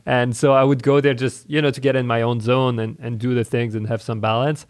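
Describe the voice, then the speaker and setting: A man's voice: mid-range voice, flat delivery, brisk. A man hosting a casual interview, friendly and rambling, often restarting his words. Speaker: podcast host.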